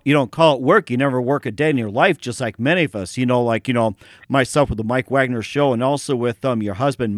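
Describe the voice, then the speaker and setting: A man's voice: mid-range voice, no echo, clean studio sound. A man hosting a radio interview show. He rambles and keeps adding "as well, too". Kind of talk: casual interview chat.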